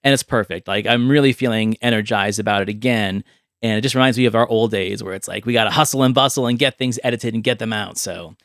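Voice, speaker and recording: A man's voice: on the low side, quick pace, fairly bright tone, no room sound, studio quality. A man hosting a podcast in a casual, chatty way, explaining things conversationally as he goes. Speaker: podcast host.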